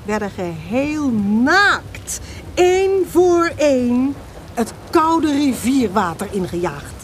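A woman narrating a historical radio drama as an expressive storyteller, with dramatic, deliberate emphasis. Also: high voice, a little hiss or hum.

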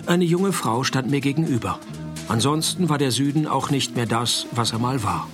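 A man's voice: medium pitch, normal speed, music in the background, lightly acted. An actor playing a dry, sardonic private detective, narrating his case in a radio play. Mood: dry narration. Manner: deadpan, laconic, sardonic.

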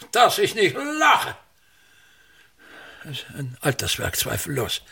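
Gravelly, pompous old stage tyrant. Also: male voice, high-pitched, bright, forceful tone, full character voice. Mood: grandiose, scornful. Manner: declamatory, grumbling, swinging from mutter to outburst. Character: pompous aging state actor, bitterly grandiose theatre tyrant. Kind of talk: theatrical radio-play monologue.

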